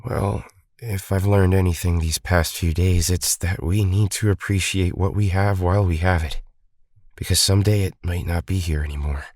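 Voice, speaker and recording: Guy as sad thoughtful young hero, deep dry voice, subdued, audio drama. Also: fairly even intonation, normal speed, a little mellow, no room sound. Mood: reflective. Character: downcast, reflective young male hero at home.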